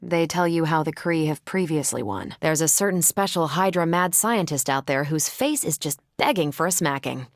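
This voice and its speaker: A woman's voice: low-pitched, expressive, normal speed, hardly any pauses, dry recording, studio quality. A woman voicing a confident, wry, brash military superhero in casual banter for a video game. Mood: teasing.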